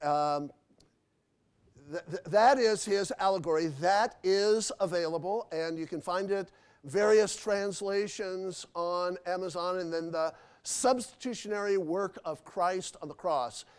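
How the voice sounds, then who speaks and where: A man's voice: sits high, unhurried, clean tone. A man speaking as a lecturer at a question-and-answer session in a hotel convention hall, with many fillers and restarts.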